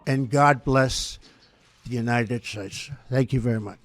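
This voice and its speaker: A man giving a political speech in an emphatic, halting way. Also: medium pitch, soft background music.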